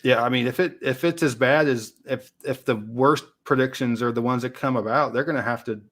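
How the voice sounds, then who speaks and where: A man's voice: mid-range voice, a little fast, fairly bright tone. A man with an American accent in a casual, unscripted conversation, thinking aloud and sometimes trailing off as a geography creator. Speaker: YouTube geography creator in a two-way interview.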